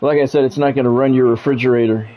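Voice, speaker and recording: A man's voice: medium pitch, fairly even intonation, fast, muffled recording. A middle-aged man doing live football game commentary mixed with casual chat, talking in a blunt, profane, conversational way. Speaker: game streamer and play-by-play commentator.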